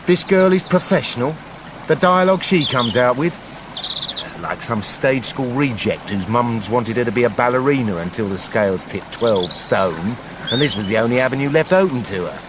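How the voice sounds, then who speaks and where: A man's voice: medium pitch, animated, moderate pace, noisy background, lightly acted. Man playing a cheeky, swooping wolf villain. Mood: mocking, argumentative. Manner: blunt, mocking, colloquial. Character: cheeky, sarcastic talking wolf villain, grumbling and argumentative. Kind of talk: comic radio play acting.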